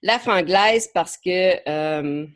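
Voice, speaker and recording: A woman's voice: lowish voice, extremely slow. A woman with an English Canadian accent whose first language is English, leading a live online training session as a marketing coach. She talks in a chatty way, slips English words into her French, and often hesitates and repeats words.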